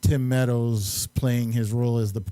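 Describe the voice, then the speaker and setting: Male host for casual film chat, soft mellow tone, very dry sound, halting and meandering delivery. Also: mid-range voice, flat delivery, no room sound. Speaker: host and amateur filmmaker. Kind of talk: casual chat and movie reviews.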